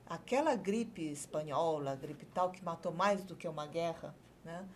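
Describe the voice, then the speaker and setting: A woman doctor, a guest on a TV health talk show, explaining calmly and pausing to search for her words. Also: fairly low pitch, a little hiss or hum. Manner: measured, searching for words, many fillers.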